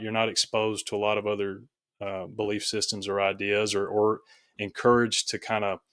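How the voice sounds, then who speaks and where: A man telling his personal story reflectively as an interview guest, in a halting, thoughtful way, often correcting himself. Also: on the low side, fairly bright tone, dry recording, clean studio sound. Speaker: podcast guest, author.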